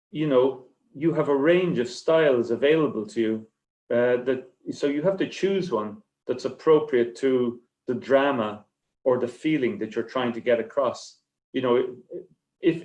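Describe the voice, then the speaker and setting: Halting man in an echoey room. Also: mid-range voice, a little mellow. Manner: halting, stammering, repeats words and restarts. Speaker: short story writer on a festival panel. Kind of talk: rambling panel discussion answer. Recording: echoey, roomy sound.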